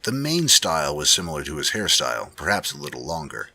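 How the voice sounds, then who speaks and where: A man narrates a story in a very deep, forceful voice with a rough, husky edge. His delivery is dramatic and swings widely in pitch, and the recording is dry and close. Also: sing-song, fairly quick, dry recording. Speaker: narrator of fan fiction readings.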